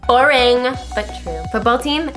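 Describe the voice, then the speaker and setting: A woman's voice: a little high, a little slow, over music. A woman co-hosting a podcast, recapping a book in a humorous chat with wry, mock-exasperated commentary. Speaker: podcast co-host.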